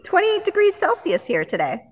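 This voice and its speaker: A woman's voice: very high voice, wildly swooping pitch, moderate pace, a crowd in the background. Upbeat Canadian woman hosting an interview. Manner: upbeat, chatty. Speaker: interview host. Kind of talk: casual interview. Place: renovated church music venue.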